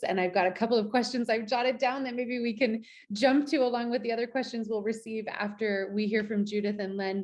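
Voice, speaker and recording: A woman's voice: medium pitch, darkish tone. A woman hosting a webinar over a video call, upbeat and gracious as she introduces speakers. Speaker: webinar host. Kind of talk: moderating a webinar.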